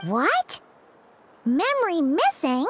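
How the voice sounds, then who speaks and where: A woman voicing a small, excitable, naive flying fairy companion in a video game, with a chirpy, blunt, childlike manner. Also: very high voice, slow, over loud music, exaggerated voice. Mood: puzzled. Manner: chirpy, blunt, refers to herself in third person. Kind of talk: video game character dialogue.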